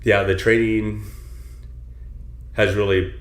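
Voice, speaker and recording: A man's voice: on the low side, little pitch movement, slow. A man from south Texas whose first language is Spanish, a personal trainer talking earnestly in a casual interview, full of "you know"s. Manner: earnest, filler-heavy, many "you know"s. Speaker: personal trainer, podcast guest. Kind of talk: casual reflective interview talk. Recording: roomy echo with faint ambience.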